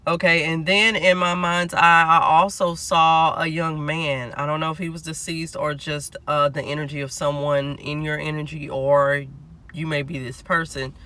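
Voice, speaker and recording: Nasal, bright-voiced man reading tarot. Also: fairly high pitch, level tone, moderate pace, clear, resonant tone. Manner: chatty, keeps checking in with "okay?". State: nasal, getting over a cold. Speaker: tarot reader. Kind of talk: collective tarot reading to camera.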